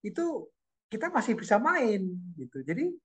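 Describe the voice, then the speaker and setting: A middle-aged man from Indonesia tells stories from his years abroad in a relaxed, animated chat. His voice sits a little high for a man. He often repeats a word or phrase while he hunts for the next thought. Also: normal speed, husky. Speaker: guest recounting life abroad. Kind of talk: casual storytelling chat.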